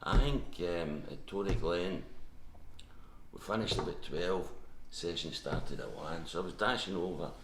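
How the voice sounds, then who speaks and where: Older Scottish man, very deep and gravelly, with a faint hum in an echoey room. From Scotland. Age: 70s. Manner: halting, with many restarts and fillers. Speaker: interview guest. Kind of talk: casual interview.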